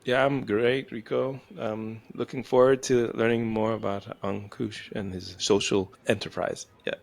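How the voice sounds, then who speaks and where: Gravelly-voiced male co-host. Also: fairly low pitch, unhurried. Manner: relaxed, hesitant with fillers. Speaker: podcast co-host. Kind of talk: conversational interview.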